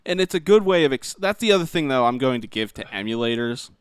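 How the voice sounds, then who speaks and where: A man's voice: medium pitch, lively intonation, fast, clear, resonant tone, no echo. A man co-hosting a podcast in a casual, conversational chat style. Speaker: podcast co-host.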